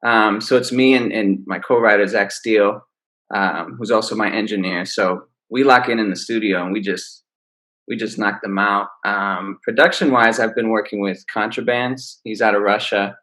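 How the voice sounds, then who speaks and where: A man, an R&B singer, answering interview questions in a relaxed, easygoing way. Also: on the low side, moderate pace. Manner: relaxed, laid-back explaining. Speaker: R&B singer, guest. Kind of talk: casual interview.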